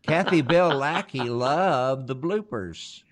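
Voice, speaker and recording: A man's voice: medium pitch, expressive, slow pace. Brief: man, co-host, folksy and teasing, reads comments aloud. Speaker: co-host reading viewer comments. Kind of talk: casual live-stream chat.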